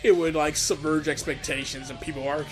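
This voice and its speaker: A man giving casual, excited director's commentary, stammering and repeating words as he goes. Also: a little high, fairly quick, soft background music. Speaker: comic dub director giving audio commentary.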